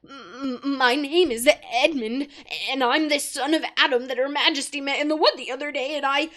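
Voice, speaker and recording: Trembling, stammering young boy, higher than the narration, played by a woman reader; slow storybook pacing.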